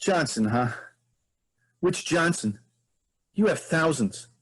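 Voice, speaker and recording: A nervy, defiant clone played by a man taunts and questions in a high, husky voice. The pitch moves around a lot, as in a theatrical stage reading. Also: lively intonation, takes it slowly, a bit bright, exaggerated voice. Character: nervous, defiant male clone facing his creator.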